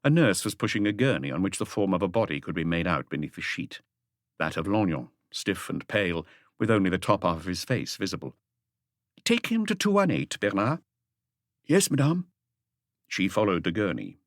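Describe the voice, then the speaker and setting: A man's voice: deep voice, no room sound, clean studio sound. A man reading an audiobook as narrator, in a scripted literary storytelling style.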